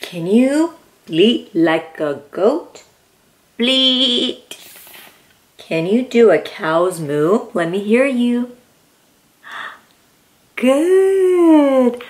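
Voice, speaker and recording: A woman in her thirties reading a picture book aloud to young children in an excited, encouraging, exaggerated way. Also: fairly high pitch, drawn-out words, pauses often. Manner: exaggerated, sing-song animal noises and questions. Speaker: children's read-aloud host. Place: indoor room with plain wall.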